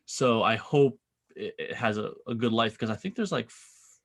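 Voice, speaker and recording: A man from Massachusetts, a podcast guest, chatting in a relaxed way with fillers and laughs. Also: mid-range voice, moderate pace. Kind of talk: casual interview chat.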